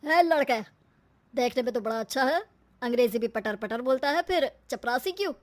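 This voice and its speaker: A brisk male bank manager, put on by a woman narrator. He is casually authoritative and a little patronising, yet fair, as in a cartoon story. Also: high-pitched, expressive. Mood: appraising.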